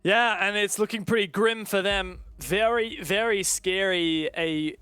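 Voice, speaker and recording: A man's voice: high-pitched, a little slow, bright, forceful tone, smooth, no echo. A man commentating live on an esports match, with dramatic, wordy phrasing. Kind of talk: live play-by-play game commentary.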